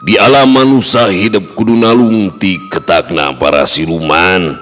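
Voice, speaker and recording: Lalaki nyoarakeun raja jin sepuh, sorana agem pisan. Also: male voice, sits low, a bit bright, pitched above the performer's own voice. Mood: solemn, commanding. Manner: slow, solemn, fatherly counsel. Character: wise, authoritative old jinn king, a father. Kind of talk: Sundanese storytelling character voice. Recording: long hall reverb, music underneath.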